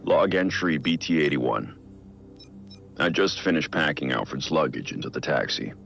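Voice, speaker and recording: An actor playing a brooding, guilt-ridden middle-aged vigilante who records grave, measured, introspective audio logs in a video game. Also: male voice, lively intonation, fairly bright tone, exaggerated voice. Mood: measured, reflective. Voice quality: deep, gravelly. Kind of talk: scripted audio log narration, video game acting. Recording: muffled lo-fi audio log, music underneath. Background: music.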